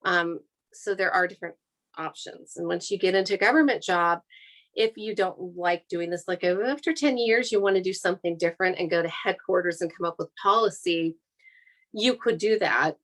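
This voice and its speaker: Lower-pitched American woman explaining her job. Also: moderate pace, a bit bright. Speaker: air traffic controller giving a career talk. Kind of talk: informal explanatory talk to an audience.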